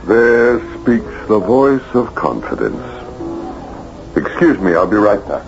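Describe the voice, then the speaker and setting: An actor in an old-time radio drama playing a troubled, fragile writer haunted by guilt, speaking in a measured, literary, brooding way. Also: male voice, fairly low pitch, sing-song, gravelly, music in the background, full character voice. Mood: dry. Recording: dull, noisy vintage radio broadcast.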